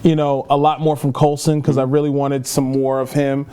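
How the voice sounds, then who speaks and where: A man's voice: medium pitch, level tone, normal speed, mellow, smooth. A man co-hosting a film podcast in casual chat, rambling and repeating himself for emphasis. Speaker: podcast co-host reviewing films.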